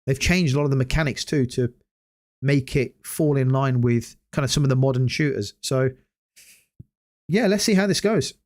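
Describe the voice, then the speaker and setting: A man hosting a casual solo gaming podcast, hoarse after hours of talking and rambling with many hesitant restarts. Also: medium pitch, moderate pace, a little mellow. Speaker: podcast host.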